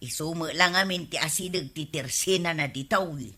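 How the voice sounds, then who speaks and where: A woman's voice: sits low, normal speed, bright, forceful tone. A woman playing a complaining, haggling village woman in a radio comedy-drama, with an Ilocano accent.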